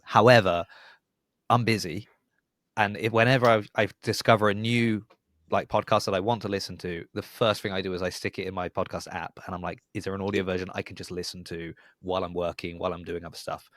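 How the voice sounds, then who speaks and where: A man's voice: deep voice, steady tone, fairly quick, fairly bright tone, no room sound. A man hosting a podcast in casual conversation, chatty and rambling with a wry streak. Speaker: podcast host.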